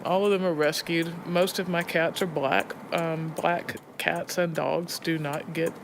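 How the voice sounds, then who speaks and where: A woman's voice: on the low side, fairly even intonation, measured pace. A woman talking casually and emphatically as a poetry teacher in an interview. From Alabama, United States. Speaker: poetry teacher and prison arts program head. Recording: location recording with clear vehicle and outdoor noise.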